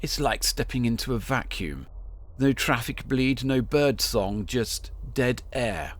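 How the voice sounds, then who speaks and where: A man playing a scholarly, unnerved sound researcher dictating a field log in clipped, halting technical phrases for an audio drama. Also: medium pitch, expressive. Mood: hushed, curious.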